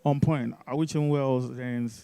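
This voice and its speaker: Nigerian male, relaxed and dark timbre, impromptu interview testimonial. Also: mid-range voice, measured pace, creaky. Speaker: play audience member giving reaction.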